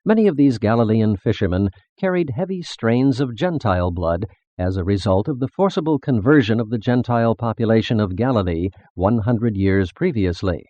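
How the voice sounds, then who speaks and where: A man narrates in a low voice that rises and falls expressively. He reads scripted religious prose in a formal, measured way, enunciating with care. The recording is close and dry, a little dull on top. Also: mellow, no echo. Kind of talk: scripted audiobook-style narration of religious text.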